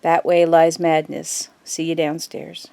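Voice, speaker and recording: A woman playing a grave mother who tells an old family story in a measured, wistful way in an audio drama. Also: deep voice, completely flat delivery, gravelly, dark tone, dry recording, full character voice. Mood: guarded.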